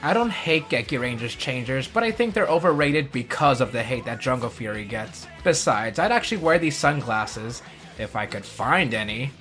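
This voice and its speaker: A man's voice: medium pitch, expressive, moderate pace, a bit bright, faint music behind. A man giving scripted countdown commentary as a host, with wry, jokey asides. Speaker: host of a countdown review video.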